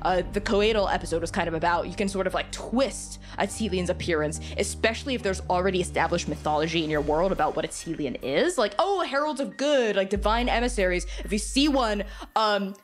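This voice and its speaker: A woman talking casually and enthusiastically as a podcast guest and game master, informal and animated. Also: medium pitch, fairly quick, faint music behind.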